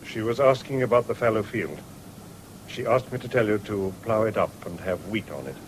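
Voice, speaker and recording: An actor playing a sober country doctor with an English accent in a 1970s radio drama, measured and grave. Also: male voice, lowish voice, natural voice, poor audio. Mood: grave, gentle. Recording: hissy old radio broadcast recording.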